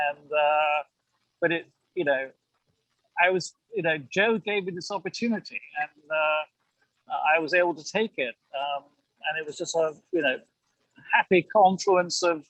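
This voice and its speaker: A man's voice: fairly high pitch, lively intonation, unhurried, a bit bright, no room sound, poor audio. An older man from England with a British accent, chatting reflectively as an interview guest in a hedging, self-deprecating way. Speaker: amateur actor, interview guest.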